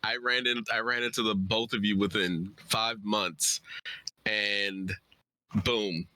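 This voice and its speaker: A man's voice: lowish voice, fairly even intonation, slow, pushed and bright, no room sound, low-quality recording. A man talking casually on a livestream as a co-presenter, informal and easygoing in how he speaks. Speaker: writer and streamer, co-presenter.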